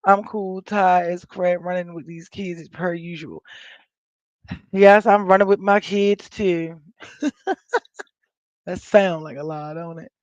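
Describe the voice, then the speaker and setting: Raspy American woman livestreaming, dull dry sound. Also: fairly low pitch, fairly even intonation, measured pace, a little mellow, no echo. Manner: rambling, unfiltered, folksy. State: coming down with a cold, scratchy throat. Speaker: livestream host. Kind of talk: casual live chat with viewers. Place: indoors at home, in an office.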